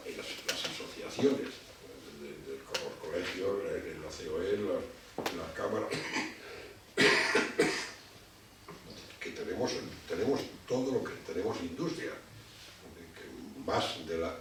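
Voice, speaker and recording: A man with a very low voice gives a public lecture, explaining his points in a halting way and often stumbling over repeated words. He is recorded from a distance in a hall with heavy echo. Steady background noise runs under his voice.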